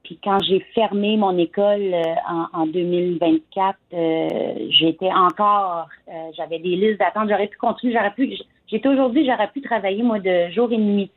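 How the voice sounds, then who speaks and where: A woman's voice: medium pitch, normal speed. Franco-Ontarian woman on a phone line. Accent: Franco-Ontarian French. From Eastern Ontario, Canada. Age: 40s. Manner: chatty, frequent hesitations. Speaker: singer, interview guest. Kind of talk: casual interview chat.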